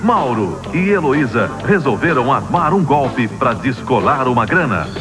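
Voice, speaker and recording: Loud backing music, male TV announcer, Brazilian accent, animated intonation, dramatic scripted soap trailer. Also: mid-range voice, measured pace. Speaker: TV promo announcer. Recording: loud music under 1990s TV promo.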